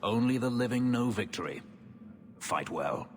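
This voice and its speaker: A man playing a stern, loyal warrior in a video game cutscene, speaking in a solemn, deliberate way. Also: medium pitch, lively intonation, slight background noise, close to an everyday voice. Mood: solemn, sincere. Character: stern battle-hardened warrior, loyal, solemn, sparing with words. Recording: long reverb, game music behind.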